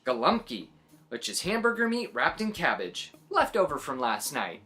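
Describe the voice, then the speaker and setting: A woman reading a story aloud to young children in an animated, expressive way, adding little exclamations. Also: deep voice, moderate pace, faint music behind. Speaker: children's story reader and host.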